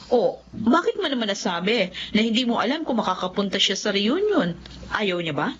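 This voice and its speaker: A sociable middle-aged friend chats with a lively, swinging pitch and a Filipino accent. It is radio drama acting on slightly muffled audio. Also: female voice, moderate pace, husky. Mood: curious, sympathetic. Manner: chatty, animated. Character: sociable, excited middle-aged former classmate. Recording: dull lo-fi audio.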